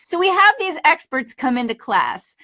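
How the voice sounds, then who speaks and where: A woman's voice: high-pitched, sing-song, normal speed, bright, forceful tone. A woman coach giving an informal online class presentation, talking in a chatty, emphatic and enthusiastic way.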